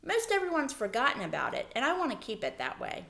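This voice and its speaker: Woman playing an earnest, high-voiced young boy. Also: wildly swooping pitch, fast talker, gravelly, fairly bright tone, heavily acted. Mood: wary, insistent. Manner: earnest, protesting.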